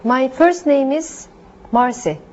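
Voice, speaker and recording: A woman with a high voice works through short practice phrases in a classroom role play. She speaks carefully, one line at a time. The recording is dull and lo-fi. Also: takes it slowly, a little mellow. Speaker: language student.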